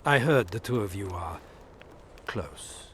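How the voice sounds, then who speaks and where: An actor playing a suave, middle-aged imperial ambassador in a video game, formal, measured and insinuating. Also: male voice, on the low side, expressive, a bit bright, a little hiss or hum. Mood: politely probing. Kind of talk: video game dialogue acting. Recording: music behind the voice.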